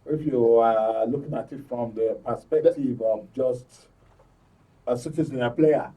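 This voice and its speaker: A Nigerian man presents a live current affairs programme. His voice is very mellow and dark, at a middling pitch. He sounds set back from the microphone. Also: unhurried, far from the mic, highs cut off. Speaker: radio and TV talk show host.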